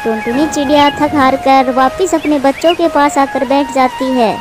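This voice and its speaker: High-pitched woman narrating a children's story. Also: fairly even intonation, normal speed, noisy background.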